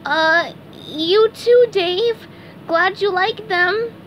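A woman's voice: extremely high pitch, extremely slow, muffled recording, a little hiss or hum, voice pushed up, full character voice. A woman voicing an eager, apologetic young male sea sponge in a cartoon storybook. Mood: sheepish.

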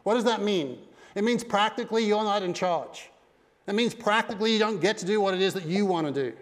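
A man's voice: high-pitched, fairly quick. A middle-aged man preaching a sermon with emphatic delivery on a church stage. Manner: emphatic preaching with broad hand gestures. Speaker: pastor. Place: large dark church stage. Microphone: headset mic. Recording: long reverb of a big hall.

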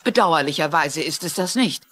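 A woman's voice: deep voice, expressive, normal speed. An actress playing a shrewd, polite older woman amateur sleuth in a German-dubbed TV mystery, precise and probing. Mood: firm, reasoning.

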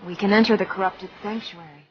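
An actress playing a young, determined princess who talks in a curt, earnest and sometimes scolding way. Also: female voice, medium pitch, steady tone, a little fast, lo-fi sound, slight background noise, natural voice.

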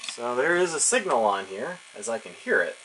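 A man's voice: medium pitch, sing-song, normal speed, bright, forceful tone, slight background noise, rough recording. A man informally narrating a hands-on electronics demonstration, musing to himself as he works at his bench. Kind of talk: casual hands-on demonstration, thinking aloud. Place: cluttered indoor electronics workbench.

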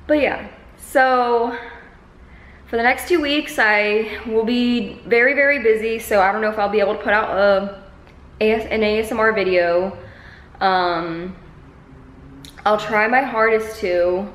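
A woman's voice: mid-range voice, measured pace, long pauses, a bit bright. Young woman chatting in an echoey room. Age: 20s. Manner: chatty, informal. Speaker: vlogger. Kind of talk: casual chat to camera. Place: medium-sized living room indoors. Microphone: camera held at arm's length.

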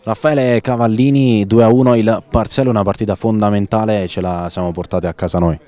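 A man interviewing players after a match, asking leading questions with pauses and fillers. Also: lowish voice, fairly even intonation, dark tone, no room sound. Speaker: sideline interviewer.

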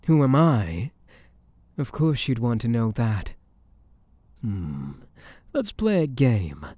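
A man's voice: medium pitch, very animated, slow, mellow, no echo. A man playing a smug, possessive, flirtatious mafia boss in an intimate ASMR roleplay, with a teasing, drawling, menacingly sweet manner.

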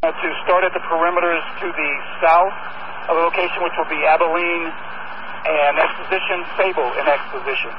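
A male police officer giving halting, procedural instructions over a police radio. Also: a little high, fairly even intonation. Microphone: two-way radio. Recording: distant muffled noisy police radio.

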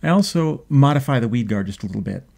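A man in his fifties, hosting a fishing video, explains his tackle choices in a measured, thoughtful way with frequent ums and uhs, filmed indoors at a desk. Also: mid-range voice, lively intonation, gravelly, a little mellow. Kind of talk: instructional fishing talk. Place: indoor home office.

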